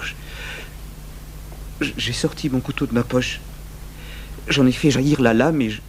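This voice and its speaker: An actor playing an anguished, bitter young clerk in a French-language radio drama, confessing haltingly with stammered restarts. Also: male voice, medium pitch. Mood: agitated. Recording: noisy humming old radio tape.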